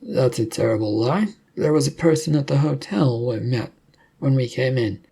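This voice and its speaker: A man voicing a brisk, authoritative female officer in a storybook, questioning in a firm, commanding way. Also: medium pitch, creaky, warm tone, breathy edge. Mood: indignant.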